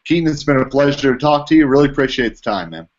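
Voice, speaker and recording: A man's voice: medium pitch, expressive, quick pace. A man hosting an interview over an online video call, asking questions as the interviewer. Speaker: interviewer, trade publication editorial director. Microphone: video call microphone. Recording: dull lo-fi video call audio.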